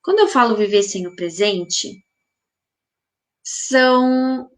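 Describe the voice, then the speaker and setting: A woman's voice: on the high side, slow. A woman hosts a spiritual healing group, musing aloud in a live reflective talk and asking listeners many rhetorical questions. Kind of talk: live reflective talk answering viewer comments.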